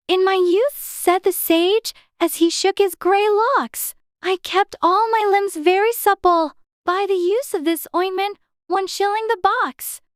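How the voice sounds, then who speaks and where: An extremely high, girlish storybook heroine , acted by a woman. She is polite, proper and earnest, a puzzled child trying to be understood. The recording is close and dry. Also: dry recording. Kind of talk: dramatized audiobook character acting.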